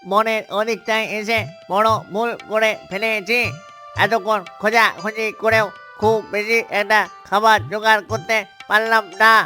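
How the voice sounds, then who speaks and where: A man voicing a patient, hardworking cartoon father duck, an earnest and even-tempered provider. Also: high-pitched, normal speed, soft background music. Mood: weary, concerned.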